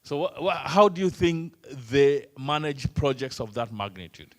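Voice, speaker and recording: A Kenyan trainer whose first language is Swahili, speaking English at a mid-pitched level for a man. He runs the session by throwing questions at the room and teasing the participants. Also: animated, moderate pace. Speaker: workshop trainer.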